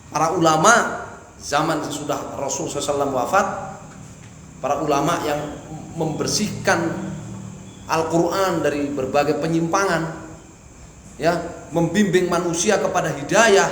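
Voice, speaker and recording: Guy teaching in a mosque, reads arabic then explains it, asks and answers his own questions, boomy room. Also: a bit bright. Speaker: religious teacher. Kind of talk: mosque study lecture explaining a classical text. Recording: echoey hall with faint hiss.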